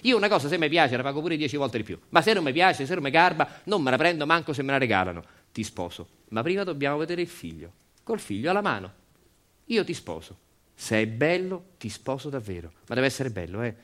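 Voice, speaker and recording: A man's voice: medium pitch, big pitch swings, brisk, fairly bright tone. A man playing an arrogant, vain factory boss in a stage story, with a Roman accent and a blustering, repetitive, emphatic way of bargaining. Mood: boastful bargaining.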